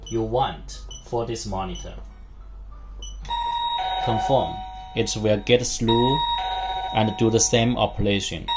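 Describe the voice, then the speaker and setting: A man with a fairly low voice presents a step-by-step product tutorial in non-native English. He goes very slowly, breaking his simple instructions into short, halting phrases. Faint music plays behind him. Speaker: product demonstrator.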